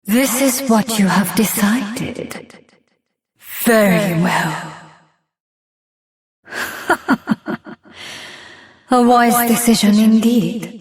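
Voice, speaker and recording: A woman playing a solemn, mystical, regal being in a video game, her voice carried on reverb. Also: a little high. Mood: cold, commanding. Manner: measured, regal. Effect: long reverb. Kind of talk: dramatic video game voice acting. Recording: echoing hall reverb.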